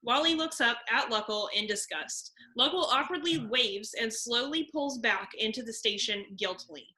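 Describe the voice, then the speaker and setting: Bright-voiced woman narrator. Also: a little high, moderate pace, rough recording. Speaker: narrator reading stage directions. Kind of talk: scripted narration at a table read.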